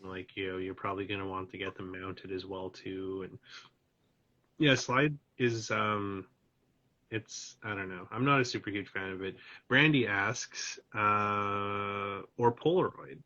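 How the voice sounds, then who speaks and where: A man hosting a live stream, tired and starting to lose his voice. His voice sits a little low, and he rambles loosely with plenty of fillers. The stream audio is dull and lo-fi. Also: steady tone, unhurried, sharp tone. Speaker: host. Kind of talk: casual chat on a live stream. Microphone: video call.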